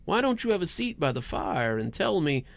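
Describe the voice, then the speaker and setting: A man's voice: on the high side, big pitch swings, moderate pace, creaky, dry recording, voice pushed up. Man putting on a menacing, mock-friendly backwoods killer. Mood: mock-friendly menace. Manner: intoning, falsely friendly menace.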